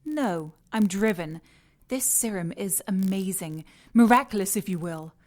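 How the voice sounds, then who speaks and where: Casting: actress as cool mad-scientist antagonist for audio drama, mid-range voice, polite, superior, single-minded. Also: female voice, mid-range voice, expressive, a little slow, darkish tone, lightly acted. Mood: driven, smug. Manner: composed, formal, condescending. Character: poised, driven female scientist villain.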